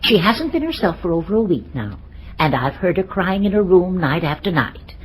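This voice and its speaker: A kindly, proper aunt speaks in a somewhat low, expressive voice. She is gracious and confiding, in old-time radio drama style, on a slightly noisy vintage recording. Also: moderate pace. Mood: concerned, confiding. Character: kindly, concerned, matchmaking aunt, a proper older lady.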